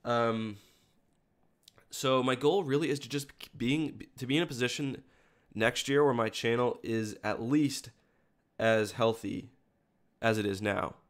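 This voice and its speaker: A man's voice: mid-range voice, a little slow, long pauses. A man with a congested voice from a cold, chatting casually to livestream viewers in a rambling, self-correcting way. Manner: rambling, self-correcting, stop-start phrasing. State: has a cold, sniffly congested voice. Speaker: video creator hosting a livestream.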